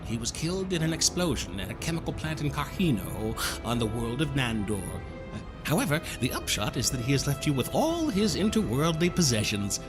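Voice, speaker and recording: A man playing a suave gentleman stranger in an audio drama, florid, over-polite and slyly mocking. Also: mid-range voice, sing-song, fairly quick, over music, lightly acted. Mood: courtly, needling.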